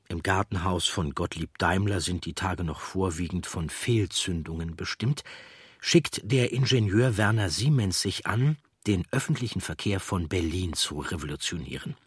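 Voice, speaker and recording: Male documentary narrator, low and husky, measured storytelling, very dry close studio sound. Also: lively intonation, no room sound.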